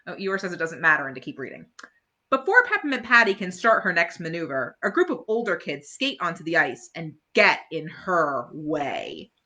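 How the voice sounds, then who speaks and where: Very bright, animated woman reading stories. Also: fairly low pitch. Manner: expressive, pauses to chat to young listeners. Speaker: children's storyteller at a library. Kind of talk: animated read-aloud bedtime story.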